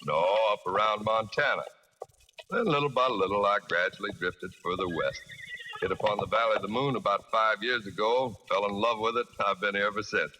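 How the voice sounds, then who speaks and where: A man playing an easygoing, polite American cowboy ranch hand in a 1940s radio drama, folksy and husky. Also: on the low side, a little fast, pushed and bright, slight background noise, rough recording. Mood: relaxed, folksy.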